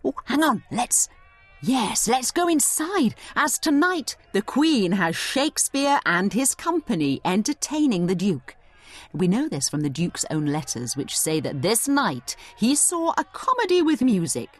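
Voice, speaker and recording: Lady doing an educational audio tour, really lively up and down voice, theatrical, chatty asides. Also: a little high, measured pace. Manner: theatrical, explanatory, breaks off into asides. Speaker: narrator and guide of an audio study guide. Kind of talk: scripted dramatised educational narration, addressing the listener as a tour guide.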